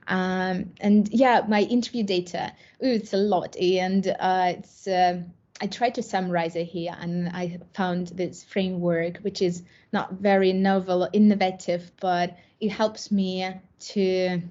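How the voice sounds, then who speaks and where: A woman's voice: fairly low pitch, level tone, measured pace, a little mellow, dull audio. A woman with a Russian accent answering conference questions, hesitant, with many fillers and restarts. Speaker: academic presenter. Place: online conference.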